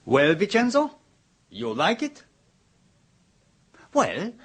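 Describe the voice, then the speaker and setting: A flamboyant count with a husky, lively voice. He gushes theatrically in an old radio drama. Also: a little high, extremely slow, full character voice. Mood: gushing. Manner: theatrical, gushing, grandiose. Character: flamboyant Italian count, boastful art collector. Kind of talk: 1970s radio drama acting.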